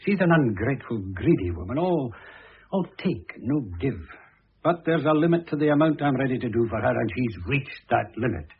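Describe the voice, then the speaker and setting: Husky, proud older Scotsman. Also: mid-range voice, animated, brisk. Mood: aggrieved. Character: aging wealthy husband, proud, self-pitying, wistful. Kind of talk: classic British radio drama acting. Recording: muffled phone-like old radio recording.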